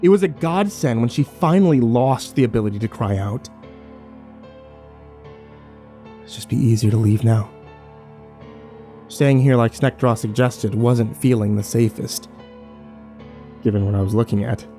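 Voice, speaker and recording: A man acts a brooding, embittered survivor narrating a horror audio drama in the first person. The voice is mid-pitched and mellow, with a grim, shaken manner, set over a soft music bed. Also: moderate pace, natural voice. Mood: grim, bitter.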